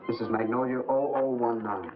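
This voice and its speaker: A man acting as a frightened man in a 1950s crime film, with an ordinary mid-range voice. He speaks in an urgent, halting way. The sound is tinny and narrow, with music clearly behind him. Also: mid-range voice, steady tone, close to an everyday voice. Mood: guarded. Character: frightened man in trouble, pleading for help.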